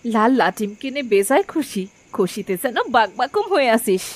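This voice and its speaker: A woman's voice: fairly high pitch, expressive, moderate pace. A woman voicing a kindly, contented older village grandmother in a cartoon, with a homely, affectionate manner. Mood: pleased. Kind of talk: cartoon voice acting. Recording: music clearly playing behind the voice.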